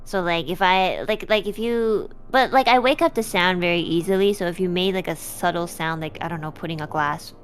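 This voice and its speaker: A woman with a fairly low voice chats casually on a stream. She talks bluntly and playfully and swears freely. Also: brisk, hardly any pauses, faint music behind. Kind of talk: casual chat.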